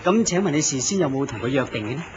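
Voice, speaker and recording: A man's voice: medium pitch, expressive, quick pace, lightly acted. A man playing a flustered, smitten clerk. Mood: polite. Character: dazzled lovestruck male office worker. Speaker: voice actor in a radio drama. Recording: muffled lo-fi recording with hiss.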